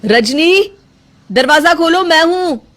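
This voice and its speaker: Woman playing an earnest, insistent young husband, high-voiced. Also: expressive, slow, fairly bright tone, exaggerated voice. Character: concerned, questioning young husband and dutiful son. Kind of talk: cartoon moral story acting.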